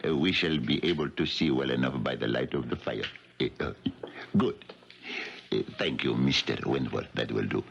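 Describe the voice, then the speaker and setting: A man's voice: a bass voice, normal speed, creaky, a bit bright, full character voice. An actor playing a polite, mysterious psychic guru in a 1970s radio drama, speaking in formal, ceremonious showman patter. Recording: dull, hissy 1970s radio recording.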